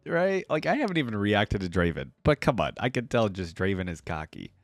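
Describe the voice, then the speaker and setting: A man's voice: on the low side, sing-song, fairly quick, no echo. A man giving informal, casual commentary as the host of a reaction video, chatting directly to his viewers. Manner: informal, addresses viewers as guys.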